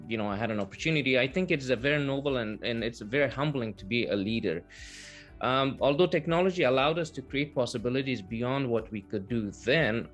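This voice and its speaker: Persian-native man, mid-pitched, measured and earnest, faint music underneath. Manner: measured, earnest, with filler pauses. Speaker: guest, translation business owner. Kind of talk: interview answers.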